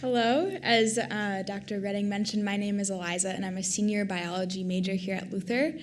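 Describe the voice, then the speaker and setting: A woman's voice: medium pitch, level tone, a little fast, noisy background. Young woman nervously introducing a speaker. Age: 20s. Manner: reads with nervous fillers. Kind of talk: formal introduction. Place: lecture hall.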